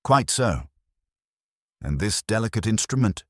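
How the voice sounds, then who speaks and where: A man voicing a mild, shrewd police inspector in a mystery story, formal and quietly ironic. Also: on the low side, sing-song, unhurried, dry recording, clean studio sound, voice pushed up. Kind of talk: storybook mystery character dialogue.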